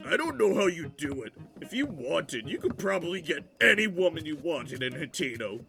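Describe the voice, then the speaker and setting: A whiny, nosy village fellow in a fantasy audio play. The voice sits high and swoops around with a creaky edge, dragging words out as he wheedles and gets defensive. Music plays softly behind him. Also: big pitch swings. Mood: grudging, curious. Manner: hesitant, drawn-out, wheedling and defensive. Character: nosy, dim, whiny village man, Manny, large Hylian. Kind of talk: fantasy audio drama acting.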